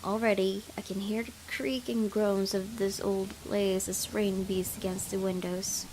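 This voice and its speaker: A woman hosting a gaming video, reading visual novel narration aloud in an expressive storytelling way. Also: medium pitch, measured pace, poor audio. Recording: clear background noise.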